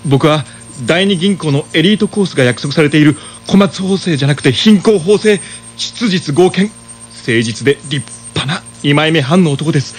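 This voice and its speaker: An actor playing a cocky, earnest young suitor who is easily rattled, in a comic radio drama. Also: male voice, fairly high pitch, lively intonation, normal speed, faint music behind, close to an everyday voice. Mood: earnest, boastful. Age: young adult. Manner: boastful, wheedling.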